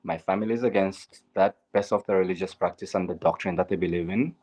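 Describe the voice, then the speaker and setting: A young Zambian man speaks in an interview with a lowish voice. He talks earnestly and candidly, filling gaps with "um" and coming back to stock phrases. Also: fairly even intonation, quick pace, a little mellow. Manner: earnest and candid, with frequent "um" and "at the end of the day". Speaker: guest, LGBTQ advocate. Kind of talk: interview, personal story.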